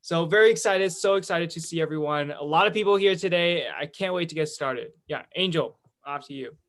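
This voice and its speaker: Chatty teenage boy, higher-pitched. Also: fairly quick, fairly bright tone. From California, United States. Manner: chatty, enthusiastic storytelling. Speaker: student presenter, education executive of a youth charity. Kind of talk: informal online presentation.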